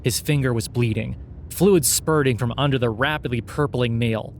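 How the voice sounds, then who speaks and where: A man's voice: mid-range voice, normal speed, a little hiss or hum. A man narrating scripted satirical fiction in a sardonic, mock-earnest storytelling manner.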